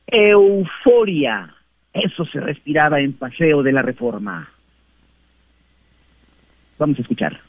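A man with a Mexican accent filing a scripted news report in a steady narrating voice. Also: fairly high pitch, slow, gravelly, pauses often, no echo. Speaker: field reporter. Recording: tinny phone line.

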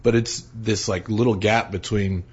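Male radio host, relaxed and conversational, husky tone, muffled recording. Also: fairly low pitch, normal speed. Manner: relaxed, chatty, says "man" often. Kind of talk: casual interview chat.

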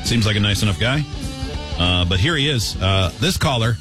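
Animated man hosting, over loud music. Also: fairly low pitch, normal speed. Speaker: radio show host. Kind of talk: casual chat, setting up a clip.

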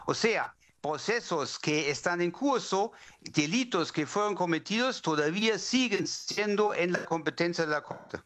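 Man, German accent, non-native speaker, slightly high pitch, academic interviewee, explanatory, halting with repeated words. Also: animated, fairly bright tone. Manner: halting, repeats words while searching for phrasing. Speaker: legal academic, interview guest.

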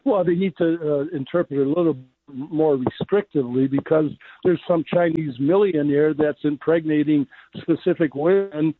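Raspy older man on a tinny phone line. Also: fairly high pitch, normal speed, no room sound. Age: 60s. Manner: argumentative, insistent. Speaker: caller. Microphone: telephone.

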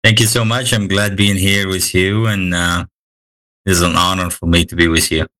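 A man's voice: low-pitched, little pitch movement, moderate pace, sharp tone, rough recording. A man with an Egyptian accent, a guest chatting casually with frequent fillers and easy laughs. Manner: hesitant, many fillers, laughs easily. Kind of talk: casual interview chat.

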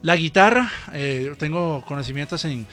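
A man from Costa Rica talks about his songwriting in an earnest, reflective way, pausing often as he works out his thoughts. His voice sits a little high, and faint music plays underneath. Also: animated, fairly bright tone. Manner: earnest, reflective, halting with pauses. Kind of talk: interview answers.

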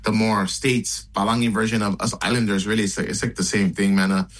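A man's voice: fairly low pitch, little pitch movement, fast talker, a bit bright. Laid-back man on a video call. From Hawaii. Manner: laid-back, easygoing, lots of fillers. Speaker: musician guest. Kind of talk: casual interview chat.

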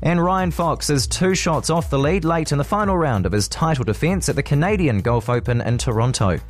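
A man's voice: on the high side, animated, fairly quick. A man with a New Zealand accent reading a scripted radio news bulletin. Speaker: radio newsreader.

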